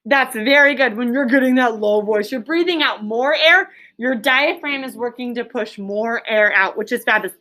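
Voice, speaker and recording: A woman teacher leading an interactive lesson for young children, encouraging them with praise and explaining in simple, deliberate terms. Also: a little high, bright, forceful tone. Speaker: elementary school teacher. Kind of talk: interactive classroom lesson with questions. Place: classroom.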